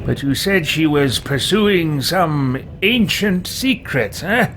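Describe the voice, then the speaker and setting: Guy doing an arrogant evil wizard for a game roleplay, highish swoopy voice, slow haughty drawl, music behind. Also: animated. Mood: haughty, musing. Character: arrogant, self-serving evil wizard, coldly ambitious. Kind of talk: roleplaying a video game character.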